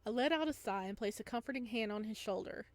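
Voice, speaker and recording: A woman's voice: fairly high pitch, expressive, fast talker, no room sound. A woman reading a visual novel aloud expressively as a let's-player, with casual asides, on a close home microphone.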